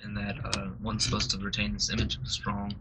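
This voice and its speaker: A man's voice: on the low side, completely flat delivery, fairly quick, heavy background noise. A young man in a group discussion who hedges and fills his speech with "like". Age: young adult. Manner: hedging, fills with "like". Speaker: roundtable participant.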